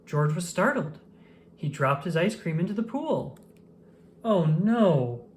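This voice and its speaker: A woman reading a children's picture book aloud in an expressive storytelling manner. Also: lowish voice, takes it slowly, a little hiss or hum. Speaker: storybook reader. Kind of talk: children's read-aloud narration.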